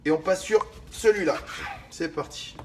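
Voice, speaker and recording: A man's voice: on the high side, moderate pace, a little hiss or hum. A young man hosting a casual live stream, joking and rambling to his viewers as he cuts lemons. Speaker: fitness livestream host. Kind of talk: casual live cooking demo.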